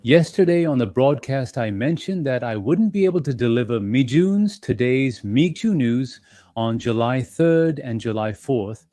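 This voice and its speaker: A man hosting an investing channel, talking in a gentle, reassuring and slightly apologetic way to his members, with small fillers as he thinks aloud. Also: medium pitch, expressive, a little slow, dry recording. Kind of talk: casual live-stream talk to members.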